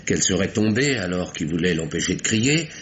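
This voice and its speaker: Low-voiced, animated, flustered gentleman. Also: fairly quick. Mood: earnest, indignant. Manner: formal, precise wording, slightly flustered. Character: nervous, courteous bourgeois man, a murdered woman's brother. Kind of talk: 1950s French radio mystery acting. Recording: faintly noisy vintage radio recording.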